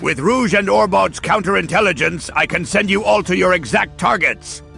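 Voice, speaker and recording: A man voicing a pompous, megalomaniac mad genius villain in a theatrical comic dub, gravelly and forceful. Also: high voice, normal speed, soft background music, exaggerated voice. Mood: scheming.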